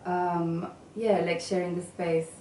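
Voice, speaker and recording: A woman with a low, mellow voice answers interview questions in a relaxed, halting way, with lots of ums and restarts. She sounds set back from the microphone in an echoey room with faint background noise. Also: far from the mic. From England and Venezuela. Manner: hesitant, with many fillers and restarts. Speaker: guest, graphic designer.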